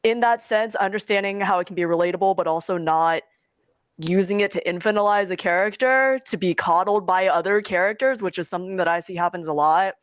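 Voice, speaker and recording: A woman's voice: fairly low pitch, brisk, no room sound, studio quality. A young adult woman giving advice in a casual panel talk, rambling informally and emphatically. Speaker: guest webcomic creator.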